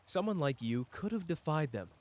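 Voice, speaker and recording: A man playing a powerful, cocky but wounded sorcerer in an intimate audio roleplay, talking casually and teasingly straight to the listener with pauses. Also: a little high, big pitch swings, a little mellow. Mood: hurt, confronting.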